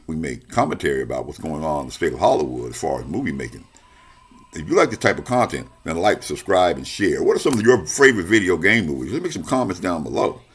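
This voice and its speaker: A man with a very low, rough-edged voice presents a review show in a casual, conversational style. His pitch moves around a lot as he talks, and he puts emphatic weight on key words, often repeating a point. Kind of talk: casual review commentary to camera.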